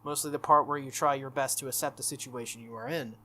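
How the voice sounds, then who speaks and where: A game player voicing a composed, solemn young woman knight from a visual novel with formal, measured, blunt courtesy. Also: mid-range voice, steady tone, pushed and bright, lightly acted.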